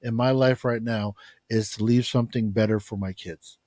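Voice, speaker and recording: A man's voice: on the low side, moderate pace, no room sound. Guy with a soothing voice, chill positive talk, dry studio sound. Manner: calm, upbeat talk about positivity and community. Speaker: podcast guest. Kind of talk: casual chat.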